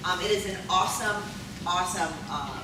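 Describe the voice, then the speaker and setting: A middle-aged woman from Maryland giving a conference talk with a loud teacher voice, full of ums and questions to the room. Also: on the low side, normal speed. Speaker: conference speaker. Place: conference hall. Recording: echoey hall, distant mic, noisy.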